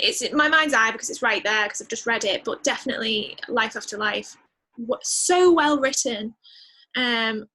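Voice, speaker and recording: A woman's voice: fairly high pitch, pushed and bright, poor audio. A woman speaking as a guest in a casual interview, enthusiastic and emphatic, repeating words for emphasis. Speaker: podcast guest, musician.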